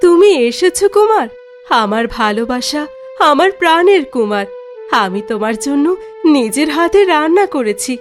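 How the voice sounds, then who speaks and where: A woman's voice: high voice, lively intonation, moderate pace, faint music behind. A woman voicing a beautiful, falsely sweet and doting sorceress in a fairy-tale cartoon. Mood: sweetly doting.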